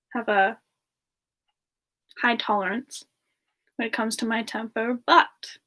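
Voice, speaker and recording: A woman voicing a gentle, polite female demon in a soft-spoken, hesitant semi-ASMR chat with viewers. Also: a little high. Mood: stern, protective. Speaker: streamer answering viewer questions in character.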